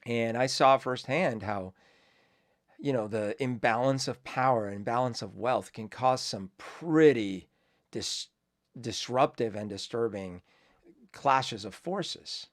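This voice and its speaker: A young man from Colombia talks through his thoughts on his own show, casual and reflective. He rambles with fillers and restarts. His voice sits at an ordinary middle pitch. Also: slow. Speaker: host. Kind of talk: casual commentary.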